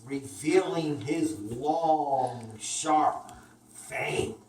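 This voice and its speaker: A woman's voice: low voice, extremely slow. A woman narrating a children's story expressively as a puppet show storyteller. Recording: echoey room, distant mic, clear noise.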